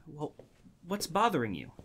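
An actor playing a skeptical but caring brother in a radio drama. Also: male voice, a little high, wildly swooping pitch, moderate pace, fairly bright tone, slight background noise. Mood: skeptical concern. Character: Brian, skeptical but caring older-sibling type brother.

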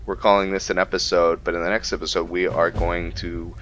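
Male gamer commentator, deep but forward tone, thoughtful and halting, faint music behind. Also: level tone, a bit bright. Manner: musing, halting. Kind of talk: casual game commentary. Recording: dull lo-fi mic, faint music. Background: faint game music.

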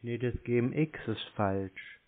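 Male customer, laconic and unhurried, mid-range pitch, muffled phone-line sound. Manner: laconic, deadpan. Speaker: prank caller posing as customer. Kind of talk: casual phone chat. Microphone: telephone.

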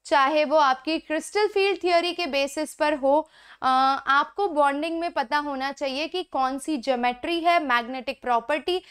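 A young Indian woman with a high voice teaches exam chemistry from a studio whiteboard. She talks emphatically and encouragingly and gestures with both hands. Her voice comes through a clip-on mic. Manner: encouraging, emphatic, mixes Hindi and English. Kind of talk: exam-prep lesson to camera. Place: indoor studio before a digital whiteboard.

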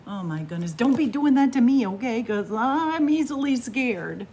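A middle-aged woman reading a picture book aloud for children, dramatic, with hushed whispers and drawn-out words. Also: fairly high pitch, expressive, slight background noise. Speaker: library story time host. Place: small library room with bookshelves, indoors.

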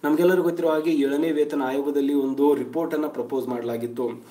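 Mellow-voiced man explaining the news. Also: a little high, steady tone, quick pace. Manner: reads aloud, mixing English words into Kannada. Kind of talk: explaining news over a scrolling article. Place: voice-over over a screen recording.

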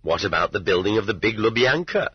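Man voicing a mocking, husky interrogator colonel. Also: lowish voice, expressive, fairly quick. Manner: sarcastic, pointedly questioning. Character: mocking lieutenant colonel interrogator, amused and condescending. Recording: dull lo-fi roomy cassette audiobook.

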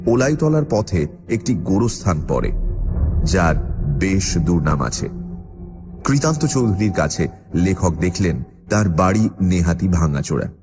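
A man narrating a scripted horror story as its storyteller. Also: low voice. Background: music.

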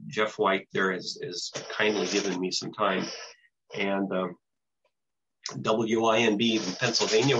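An older American man around seventy with a husky voice, giving an informal presentation in a halting, explanatory way over a video call. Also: fairly low pitch, sharp tone, slight background noise. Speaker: presenter, shortwave broadcaster. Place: small home room with bookshelves, indoors, on video call. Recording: dull, lo-fi video call audio.

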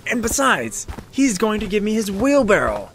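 An actor playing a meek, trusting, eager-to-please gardener in an audio storybook drama, earnest and apologetic. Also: male voice, high voice, wildly swooping pitch, moderate pace, a bit bright, a little hiss or hum, exaggerated voice. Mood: weary, trusting. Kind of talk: audio theatre storybook acting.

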